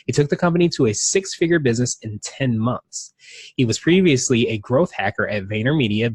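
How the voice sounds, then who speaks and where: A man's voice: medium pitch, husky, no echo, poor audio. A man hosting a podcast, reading a guest's bio and asking friendly interview questions. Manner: friendly, reads guest bio, brief affirmations. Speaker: podcast host.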